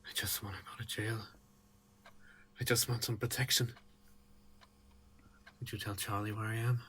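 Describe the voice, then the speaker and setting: A man playing a terrified, haunted murder suspect in a radio play, raspy and halting. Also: on the low side, little pitch movement, fairly bright tone. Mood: frightened, pleading. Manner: pleading, broken, halting.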